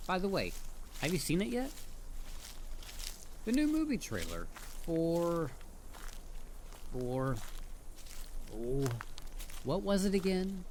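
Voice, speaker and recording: A man's voice: a little high, a little hiss or hum, full character voice. A man voicing a chatty, mischievous talking head of a dullahan in a casual, rambling audio roleplay spoken straight to the listener. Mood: cajoling, easygoing. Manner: casual, rambling, hesitant with stammered repeats. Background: ambient forest sound effects.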